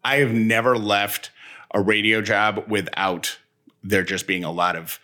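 Male podcast co-host, casual talker, lower register with a sharp, forceful edge. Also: fairly even intonation, a little slow.

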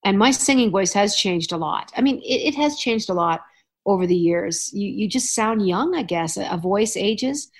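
A middle-aged woman from Canada hosting a relaxed podcast chat. She spins anecdotes with animated asides and easy laughter, at a medium pitch. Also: moderate pace. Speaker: podcast host. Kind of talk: casual chat.

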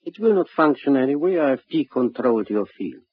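An actor playing a calm, authoritative man from another world in a 1950s radio drama, formal, measured and commanding. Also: mid-range voice, breathy edge, no echo. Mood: calm authority. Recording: muffled vintage radio recording.

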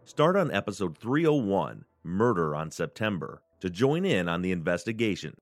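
A man's voice: low-pitched, sing-song, moderate pace. A man reading a scripted promotion for his podcast in an earnest, emphatic way.

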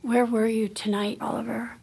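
A woman's voice: on the high side, little pitch movement, normal speed, vocal fry, a little mellow. A woman playing a guarded, frustrated wife in a naturalistic audio drama, clipped and blunt. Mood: suspicious, sharp. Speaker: actor in scripted audio drama.

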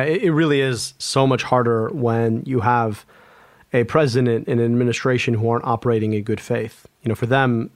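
A man's voice: mid-range voice, a little mellow, dry recording. A man, an epidemiologist guest, speaking earnestly in an interview, often restarting and repeating words. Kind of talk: conversational interview.

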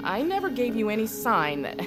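An actress playing a married female army drill sergeant in an audio drama, stern and profane but halting and flustered. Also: fairly high pitch, huge pitch swings. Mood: indignant, flustered. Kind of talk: realistic audio drama acting. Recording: music under the voice.

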